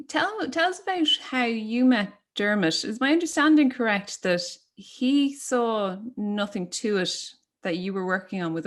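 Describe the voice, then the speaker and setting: A woman hosting a conversation, putting questions to her guest in a conversational style. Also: medium pitch. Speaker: host. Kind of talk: interview questioning.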